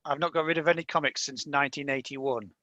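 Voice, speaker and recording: A man's voice: a little high, fairly even intonation, quick pace, sharp tone, no room sound, lo-fi sound. A man in his fifties with a light Yorkshire accent, telling a rambling story on a casual panel chat. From Yorkshire, England. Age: 50s. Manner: chatty, rambling storyteller with frequent restarts. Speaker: panel guest, comic creator.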